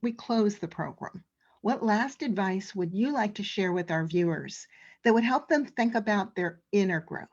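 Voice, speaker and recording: A woman's voice: mid-range voice, lively intonation, moderate pace, a little mellow, dull audio. A woman hosting an educational program in a scripted, encouraging and complimentary way, addressing families and teachers. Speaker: host of an educational read-along and draw-along program.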